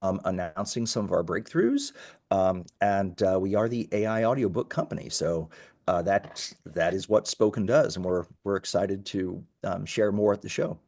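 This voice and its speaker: A man's voice: low voice, normal speed, darkish tone. A man speaking as a company founder and interview guest, explaining his business earnestly in a conversational way, point by point.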